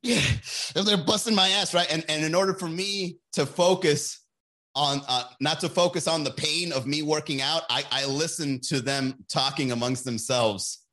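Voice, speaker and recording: A man talking loosely and crudely on a live stream, cracking jokes as he goes. His voice sits a little high and bright, with a forceful edge. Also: normal speed. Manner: loose, profane, joking. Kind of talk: casual comedic live stream.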